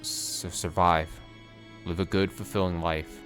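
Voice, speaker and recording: A man's voice: deep voice, flat delivery, measured pace, a bit bright, faint music behind. A man voicing a tormented young knight, speaking in a solemn, tender way that sometimes turns shaky. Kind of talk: dramatic reading of a story.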